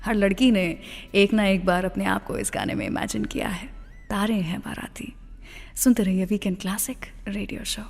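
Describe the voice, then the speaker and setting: A woman's voice: mid-range voice, fairly even intonation, normal speed, creaky. A woman with an Indian accent hosting a radio show in a conversational storytelling style, mixing English phrases into her Hindi. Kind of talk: conversational scripted radio storytelling about film music. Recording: long reverb, music bed behind voice. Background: background music.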